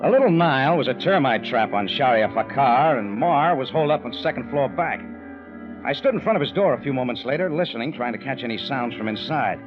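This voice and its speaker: A man playing a lively, hard-boiled radio detective. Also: medium pitch, brisk, lightly acted. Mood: wry narrating. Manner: clipped, wisecracking delivery. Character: wry, tough, hard-boiled male detective narrator. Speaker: lead actor and narrator. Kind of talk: 1940s radio detective acting, scripted narration. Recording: dull, lo-fi old radio with music.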